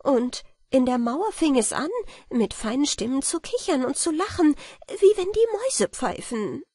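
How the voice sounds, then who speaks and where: A woman voicing an awed, excitable young village maidservant who tells a storybook tale with breathless wonder. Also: high voice, measured pace, no echo, voice pushed up. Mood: awed, excited.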